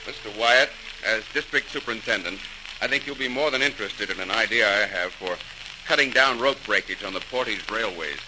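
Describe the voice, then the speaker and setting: Actor as an earnest engineer, noisy recording. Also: male voice, on the low side, fairly quick, lightly acted. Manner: earnest, intense. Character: earnest, determined young male immigrant engineer. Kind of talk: 1940s radio acting. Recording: noisy old radio transcription.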